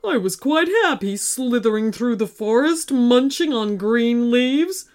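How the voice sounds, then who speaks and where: A woman voicing a fretful, complaining male snail who talks in loud, whiny exclamations. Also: fairly high pitch, animated, a little slow.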